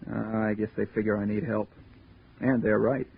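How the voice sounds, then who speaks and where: A man's voice: fairly low pitch, flat delivery, measured pace, creaky, slightly rough, narrow, tinny audio, exaggerated voice. An actor playing a seasoned, fatherly ship investigator, confiding and joking in 1950s radio drama style. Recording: thin, noisy old radio recording.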